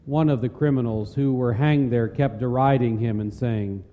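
A man reads scripture as a solemn narrator. His voice is very dark and mellow, ringing with the long reverb of a large hall. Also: mid-range voice, moderate pace. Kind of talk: solemn dramatic scripture reading.

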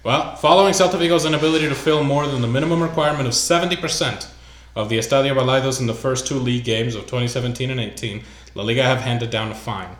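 A man's voice: mid-range voice, fast, hardly any pauses, echoey room. A man hosting a casual sports podcast, reading news items aloud with loose asides. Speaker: podcast host.

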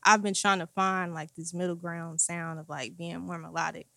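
Low-voiced young woman, relaxed chat. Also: normal speed, creaky, no room sound, clean studio sound. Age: 20s. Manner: relaxed, slangy, upbeat. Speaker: guest musician. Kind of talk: casual chat interview.

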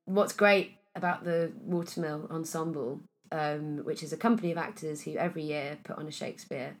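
A woman in her thirties from Wales, an actress chatting casually in an interview, her voice a bit husky and hoarse today, with many fillers and restarts. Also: sits low, steady tone, moderate pace. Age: 30s.

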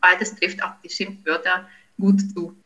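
A woman's voice: on the low side, little pitch movement, unhurried, pushed and bright, poor audio. A woman in her forties, a native speaker of Ukrainian, answering interview questions as a language researcher, thoughtful and full of small fillers and restarts. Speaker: linguistics researcher.

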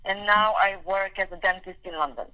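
A woman's voice: medium pitch, a little fast, sharp tone. A woman from Romania whose first language is Hungarian, a dentist answering interview questions over a call, speaking carefully with hesitant fillers. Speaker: dentist guest. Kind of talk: remote podcast interview. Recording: tinny phone-call line.

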